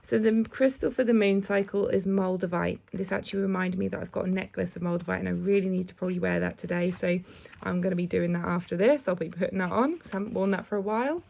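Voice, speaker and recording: A woman with a slightly croaky voice gives a casual spiritual card reading, chatty and self-deprecating. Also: lowish voice, steady tone, fast talker. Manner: chatty, warm asides, often laughs at herself. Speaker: intuitive card reader.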